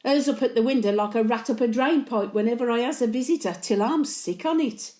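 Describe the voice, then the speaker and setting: A woman's voice: on the high side, fairly quick, a little mellow, echoey room, natural voice. A woman playing a blunt, antisocial elderly Birmingham widow, telling chatty stories in local dialect as a dramatic monologue. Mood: wry, grumbling. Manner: blunt, grumbling, colloquial dialect. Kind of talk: dramatic monologue, chatty storytelling to late husband.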